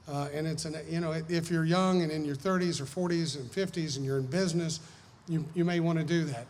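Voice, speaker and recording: A man with a Southern American accent giving an informal, folksy, explanatory seminar talk as a lawyer. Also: fairly high pitch. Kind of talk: informal lecture with audience questions. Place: large hall, speaking to an audience. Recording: echoey hall, noisy background.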